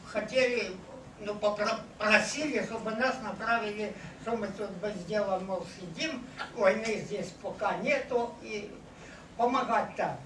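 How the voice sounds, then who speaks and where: A man in his eighties or older, a veteran recounting his memories to an audience, haltingly and searching for words. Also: high-pitched, a bit bright. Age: over 80. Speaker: war veteran giving testimony. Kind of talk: unscripted personal recollection to an audience. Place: meeting hall with seated audience. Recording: echoey hall, noisy, distant camera mic.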